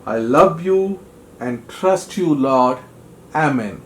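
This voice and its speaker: A man playing a frightened, devout soldier in a puppet show, speaking in a halting, dramatic monologue. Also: medium pitch, expressive, reverberant, background noise. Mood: prayerful. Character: frightened, devout soldier trapped in a cave, praying. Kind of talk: puppet show story acting.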